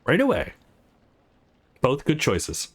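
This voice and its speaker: A man's voice: a little high, huge pitch swings, slow pace, breathy edge, full character voice. A man voicing an upbeat, friendly young protagonist in a visual novel, playful and coaxing.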